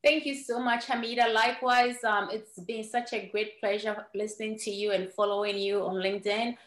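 A woman from Ghana, a native Ewe speaker, talking as a guest on a podcast. Her mid-pitched voice has an earnest tone and small restarts. The room around her sounds echoey. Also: fairly even intonation, moderate pace. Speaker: guest entrepreneur. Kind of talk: podcast interview storytelling.

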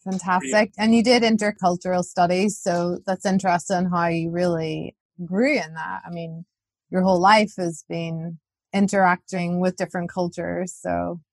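A woman's voice: fairly low pitch, little pitch movement, normal speed, dry recording. A woman from Northern Ireland with a Northern Irish accent, hosting a podcast interview in a friendly, appreciative way. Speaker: podcast host. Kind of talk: interview questions and sign-off.

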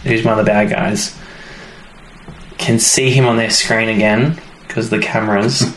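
A man's voice: on the low side, steady tone, a little slow, fairly bright tone, echoey room, a little hiss or hum, rough recording. A man co-hosting a podcast, chatting casually and jokingly in loose, colloquial phrases. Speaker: podcast co-host. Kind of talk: casual chat, recapping a story.

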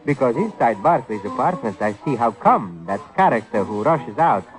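An actor playing a sly, needling blackmailer in an old radio crime drama, talking in ungrammatical street slang with mock friendliness. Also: male voice, mid-range voice, very animated, normal speed, close to an everyday voice. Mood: mock-casual menace. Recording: old radio recording, music behind.

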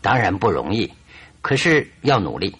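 Enigmatic detective, low husky voice, swooping intonation, measured and firm, radio drama. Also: male voice, sing-song, fairly bright tone, exaggerated voice. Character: shrewd, enigmatic, composed male detective.

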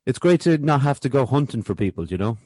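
Fast-talking Irish man hosting a podcast. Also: medium pitch, lively intonation, darkish tone, no room sound. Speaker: podcast host. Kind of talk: casual interview chat.

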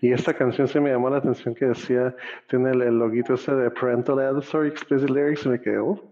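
A man from Costa Rica hosting a relaxed interview, chatty and quick to laugh, slipping in English phrases. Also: medium pitch, muffled recording. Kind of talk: casual conversational interview.

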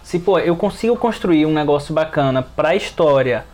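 A man's voice: on the high side, lively intonation, moderate pace, darkish tone. A man with a Brazilian accent talking casually as a panelist in a podcast discussion.

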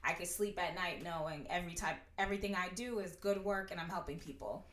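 A young woman in her twenties, a prosecutor, talking loudly and outspokenly in a casual interview. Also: on the low side, fast talker. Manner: loud, outspoken. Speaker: guest, prosecutor. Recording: roomy echo.

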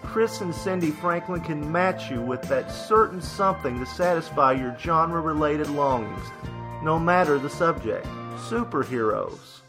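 A man's voice: a little high, expressive, measured pace. A man reading a scripted podcast advertisement as its announcer. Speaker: promo announcer. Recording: music under the voice. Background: music.

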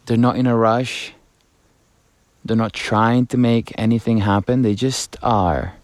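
A man from Italy with an Italian accent, talking as he walks down a mountain trail, halting and musing, sometimes dropping to a hushed, awed voice. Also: fairly low pitch, no room sound. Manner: musing, halting, drifts between hushed awe and excited chatter. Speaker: podcast host. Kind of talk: casual walking monologue.

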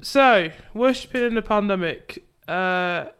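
A young woman hosts a relaxed conversation, her voice smooth and clean. She is chatty and laughs easily as she leads the talk. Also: medium pitch, measured pace. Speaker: podcast host and youth ministry leader. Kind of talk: casual chat.

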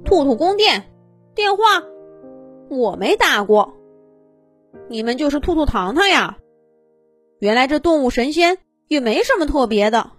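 A woman's voice: high-pitched, big pitch swings, a little slow, pauses often, soft background music. Woman voicing a blunt, unimpressed young fox. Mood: dismissive. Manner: dismissive, blunt.

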